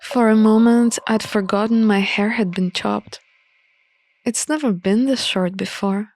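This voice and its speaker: A woman narrates a visual novel in the first person. Her pace is slow and deliberate, at a medium pitch, and the sound is close and dry. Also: fairly even intonation, darkish tone, no echo.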